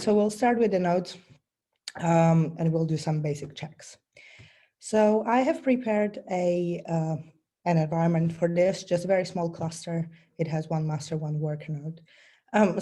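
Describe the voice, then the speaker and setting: A woman with a lowish, mellow voice, hoarse from a bad cold. She presents a technical talk over a video call and walks through each step earnestly, pausing on ums and clearing her throat.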